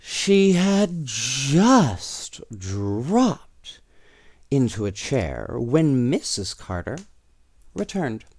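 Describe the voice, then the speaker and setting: A man reading children's stories aloud in an expressive, playful way, with casual asides to young listeners. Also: medium pitch, extremely slow, no echo. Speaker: storyteller.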